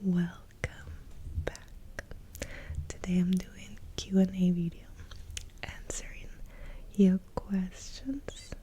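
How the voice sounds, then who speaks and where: A young adult woman from Russia, speaking in a hushed, breathy half-whisper as she answers viewer questions in a soft-spoken ASMR video, very close to the mic. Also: fairly low pitch, little pitch movement, very slow, pauses often. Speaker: ASMR creator. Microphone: close sensitive ASMR mic.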